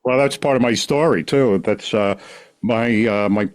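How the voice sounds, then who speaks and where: A man's voice: on the low side, a little slow. Chatty New man in his sixties. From New York City, the Bronx. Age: 60s. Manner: rambling, self-interrupting, laughs at himself. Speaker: podcast guest. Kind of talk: casual conversation.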